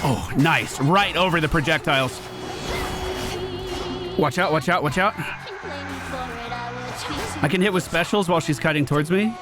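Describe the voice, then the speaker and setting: Casual male streamer, slightly high register, jokey play-by-play patter with game music clearly behind him. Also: normal speed, pauses often. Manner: casual, running self-talk, jokey. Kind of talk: live gameplay commentary.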